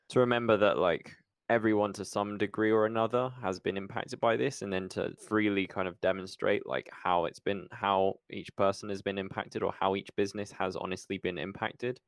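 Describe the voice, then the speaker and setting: A man's voice: fairly low pitch, fairly even intonation, moderate pace, no echo, clean studio sound. A man hosting a podcast interview in a casual, conversational style.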